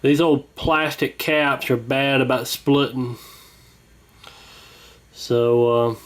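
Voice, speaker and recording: A man's voice: medium pitch, takes it slowly. Easygoing man talking through a radio repair. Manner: relaxed, rambling, many fillers. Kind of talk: casual workshop narration.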